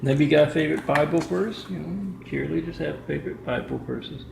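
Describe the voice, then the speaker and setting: A man's voice: on the high side, a little fast, vocal fry, echoey room, noisy background. A man vlogging in a rambling monologue to the camera, restarting often, recorded on a camera mic inside an apartment. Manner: rambling, restarts often, reads out numbers and addresses. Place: indoor apartment with a kitchen.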